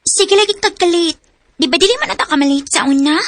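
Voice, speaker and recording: A woman's voice: extremely high pitch, fast, no echo, studio quality. A young woman playing a caring college student in a radio drama, chatting with sympathy. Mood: chatty. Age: young adult.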